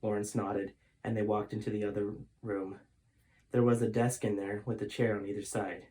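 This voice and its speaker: A man reading his own adventure story aloud in an expressive, dramatic storytime style. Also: fairly low pitch, moderate pace.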